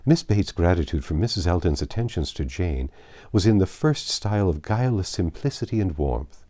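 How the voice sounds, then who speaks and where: A man's voice: deep voice, expressive, brisk, mellow. A man narrating a classic novel aloud as an audiobook reader. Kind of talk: literary narration.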